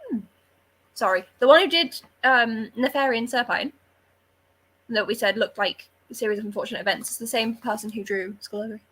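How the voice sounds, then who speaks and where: Woman on a book chat, bright n a bit husky, opinionated, really informal. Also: a little high, fairly quick, rough recording. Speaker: book club readalong panellist. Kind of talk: casual book discussion chat.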